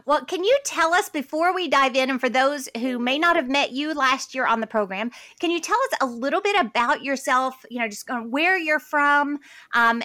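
A woman's voice: sits high, sharp tone, no room sound. Earnest woman podcast host, dry close sound. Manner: enthusiastic, earnest, with frequent fillers and restarts. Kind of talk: conversational interview and sponsor read.

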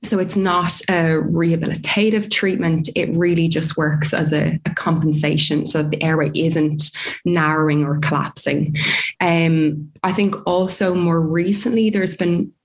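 A woman from Dublin with an Irish accent, a researcher explaining her field in a measured way with frequent fillers. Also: low-pitched, fairly even intonation. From Ireland. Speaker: speech pathology researcher. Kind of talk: academic discussion, explaining.

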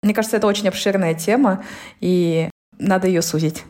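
A woman co-hosting a casual podcast, lively and decisive, quick to laugh. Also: medium pitch. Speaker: podcast co-host.

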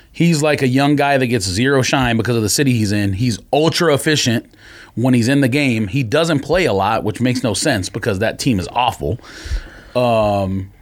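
A man co-hosting a podcast with casual sports analysis, explaining his argument point by point. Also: mid-range voice. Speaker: podcast co-host.

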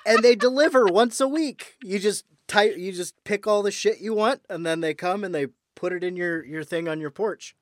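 A man's voice: sits high, moderate pace, few pauses, no echo. A man hosting a podcast, chatting casually with dry, wry humor. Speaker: podcast host. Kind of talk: casual chat.

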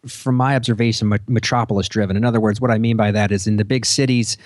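Low-voiced man, dry close sound. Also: steady tone, quick pace, no echo. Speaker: podcast panelist. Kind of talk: casual explanatory chat.

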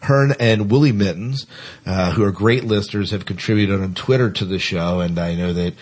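A man hosting a casual podcast, chatting in a halting way and repeating words as he thinks. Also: fairly low pitch, a little mellow. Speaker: podcast host; teacher. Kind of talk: casual co-hosted podcast chat.

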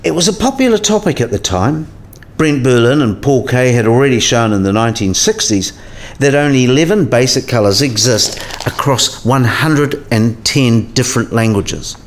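Man acting as scholarly professor, mid-pitched voice, patient, didactic, explanatory, radio play dialogue. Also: animated, moderate pace, close to an everyday voice. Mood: explaining.

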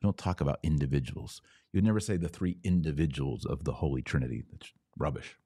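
A man's voice: very deep voice, lively intonation, quick pace, warm tone, breathy edge, dry recording, studio quality. A man hosting a religious podcast, explaining in an emphatic monologue with rhetorical questions and repeated key words. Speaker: podcast host.

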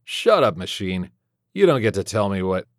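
A man acting a hot-headed, panicky character in an audiobook, agitated and shouting. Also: low-pitched, very animated, normal speed, no room sound, clean studio sound. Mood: irritated. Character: panicky, foul-mouthed hot-headed man, the story's narrator.

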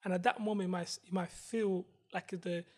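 High, husky-voiced man. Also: fairly even intonation, brisk. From Britain. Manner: earnest, repetitive phrasing with rhetorical questions. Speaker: panel discussion participant. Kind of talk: casual discussion.

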